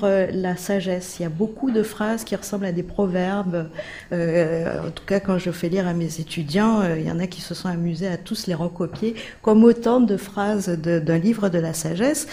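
Mellow-voiced woman asking long, halting questions. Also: fairly low pitch. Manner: hesitant, many "euh" fillers, builds long questions. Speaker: interviewer, literature teacher. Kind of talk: long, winding interview questions.

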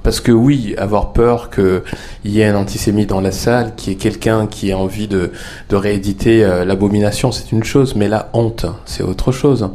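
A man hosting a discussion, asking his questions in a measured, hesitant way. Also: fairly low pitch, steady tone, dark tone, slight background noise. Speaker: host. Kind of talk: moderating a discussion.

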